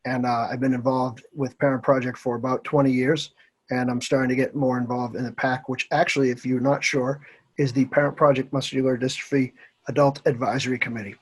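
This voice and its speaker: A man in his forties introducing a webinar panel, relaxed and conversational with occasional uhs. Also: medium pitch, brisk, breathy edge. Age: 40s. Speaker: panelist and committee member.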